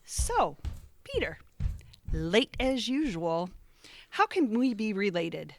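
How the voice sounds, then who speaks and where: A woman's voice: medium pitch, sing-song, slow pace, a little hiss or hum. A woman acting in an audio play as a gushing, judgmental, self-absorbed grown sister who turns indignant easily. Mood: smug needling.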